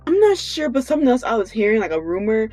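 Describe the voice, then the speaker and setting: A woman's voice: a little high, sing-song, quick pace, dark tone, soft background music. A woman chatting casually and unscripted as a co-host on a gaming podcast. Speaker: podcast co-host and game streamer.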